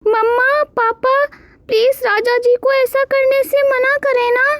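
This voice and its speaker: A woman voicing an innocent, confused young male crow chick with childlike pleading in an animated story. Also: extremely high pitch, slow pace, no echo, voice pushed up, exaggerated voice. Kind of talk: animated moral story dialogue.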